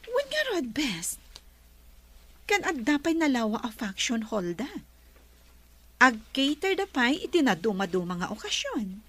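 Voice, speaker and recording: A woman's voice: on the high side, very animated, a little slow, pauses often, a bit bright, exaggerated voice. An actress playing a worried, commanding woman who owns a resort, in a radio drama. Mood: pleased. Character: worried woman resort owner, bossy madam. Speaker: resort owner.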